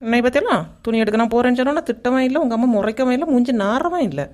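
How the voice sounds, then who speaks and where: Woman as fast, cheeky Kanyakumari daughter-in-law. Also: on the high side, a little mellow, close to an everyday voice. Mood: resentful, cheeky. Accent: Kanyakumari Tamil. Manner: teasing, back-talking. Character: cheeky, sharp-tongued young daughter-in-law. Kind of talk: comedy cartoon voice acting.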